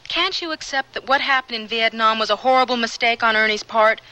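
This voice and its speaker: A woman's voice: fairly high pitch, fairly quick, bright, forceful tone, clear, resonant tone, poor audio. An actress playing an earnest, troubled young woman in a radio drama, with an emotional delivery. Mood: reproachful.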